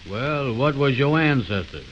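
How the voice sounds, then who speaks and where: A man's voice: mid-range voice, animated, measured pace, dull audio, slight background noise, lightly acted. A man playing a blustering, muddle-headed know-it-all in a 1920s radio comedy, with pompous, folksy, ungrammatical talk on an old recording. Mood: self-assured.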